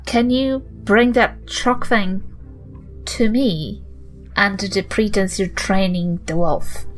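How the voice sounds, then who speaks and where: A woman's voice: medium pitch, takes it slowly, soft background music, lightly acted. Woman playing a feisty, protective granny adventurer. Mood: indignant. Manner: insistent, indignant, blunt.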